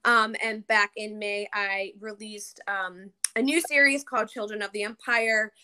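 A woman's voice: mid-range voice, steady tone, unhurried, pushed and bright, clear, resonant tone. A woman in her early thirties from New Jersey, chatting casually and ramblingly as a podcast guest. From New Jersey, United States. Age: early 30s. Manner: rambling, informal, frequent "like". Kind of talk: casual interview chat.

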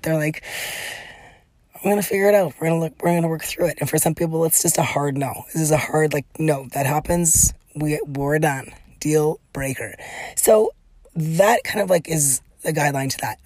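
A middle-aged woman with a breathy, raspy voice, chatting through a solo podcast with lots of fillers and laughs. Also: deep voice, brisk, darkish tone, no room sound. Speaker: podcast host. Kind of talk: casual solo podcast monologue.

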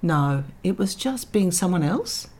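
A woman's voice: lowish voice, sing-song, a little slow, a little mellow. A middle-aged woman, Dutch-born, talking as an interview guest and telling stories with reflective, dry humour. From Netherlands. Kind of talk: candid interview conversation.

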